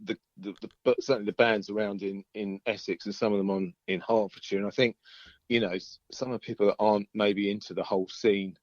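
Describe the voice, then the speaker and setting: A man with a fairly low voice talks casually as a guest musician in an interview. He rambles in an easygoing, hesitant way. The sound is dull and lo-fi, with a dry, close feel. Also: fairly even intonation, a little fast, dry recording. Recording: dull lo-fi call audio.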